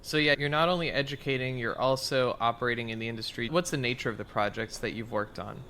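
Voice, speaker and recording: A man's voice: medium pitch, fast talker, bright, forceful tone, a little hiss or hum. A man hosting an interview podcast, asking long, upbeat questions. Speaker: podcast host.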